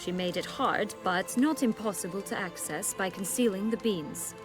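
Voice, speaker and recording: An actress playing a proud, bitter exiled noblewoman in a video game, with haughty, formal, measured speech. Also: mid-range voice, music in the background, close to an everyday voice. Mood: brisk, imperious.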